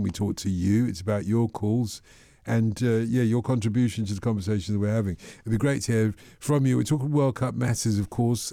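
A man's voice: fairly low pitch, creaky, no room sound, clean studio sound. A man presenting an overnight radio phone-in, chatting casually and reading the news headlines. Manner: relaxed, chatty, quick asides.

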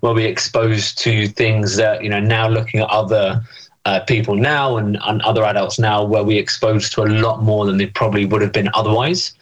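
A man's voice: lowish voice, level tone, moderate pace, highs cut off. A young man answering interview questions thoughtfully, with many fillers and restarts. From England. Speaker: interview guest.

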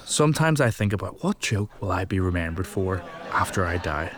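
Young male comic, low voice, dry self-mocking storyteller working a live room. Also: brisk, heavy background noise. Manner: self-deprecating, deadpan asides. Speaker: stand-up comedian. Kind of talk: stand-up storytelling with asides. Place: comedy venue with live audience.

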